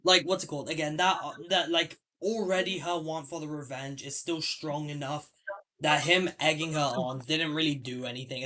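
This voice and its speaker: A young man hosts a casual podcast about films, his voice sitting a little high for a man. He talks in stop-start bursts, restarting his sentences and swinging between loud and soft. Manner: hesitant, many restarts and fillers, animated swings in loudness. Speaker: podcast host. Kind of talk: casual film discussion.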